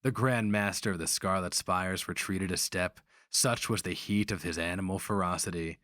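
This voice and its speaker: A man's voice: low-pitched, steady tone, moderate pace, a bit bright, no room sound, clean studio sound. A man giving a grave, theatrical reading of literary fantasy as the story's narrator.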